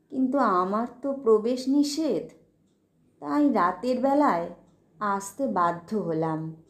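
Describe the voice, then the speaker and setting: Woman voicing a hushed, plaintive ghostly gentleman poet. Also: on the high side, echoey room. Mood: hushed, apologetic. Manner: slow, halting, polite. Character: melancholy, secretive ghostly gentleman poet with unfulfilled longings. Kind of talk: dramatic audio ghost story.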